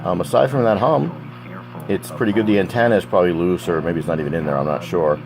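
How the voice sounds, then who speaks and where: A man's voice: low-pitched, fast talker. An American man giving casual, unscripted narration of a hobby project, rambling conversationally with asides. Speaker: hobbyist presenting a DIY project. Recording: background chatter and hum from playing radio. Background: old AM radio playing with a loud hum.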